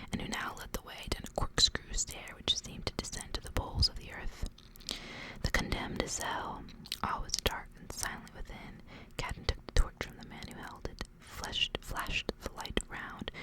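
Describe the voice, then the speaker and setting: A woman whispering in ASMR style, as a creator reading to listeners. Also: low-quality recording.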